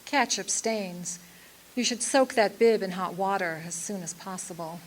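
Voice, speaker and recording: A woman's voice: mid-range voice, measured pace, slight background noise, natural voice, rough recording. A woman playing a poised, melodramatic femme fatale client in an old-time radio detective drama. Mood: pleading, poised. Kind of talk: 1940s-style radio detective drama acting.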